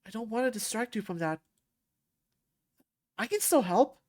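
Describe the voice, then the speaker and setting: A woman voicing a kind, supportive young man who gently reassures a friend. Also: a little high, lively intonation, normal speed, dry recording, studio quality, lightly acted. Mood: reassuring.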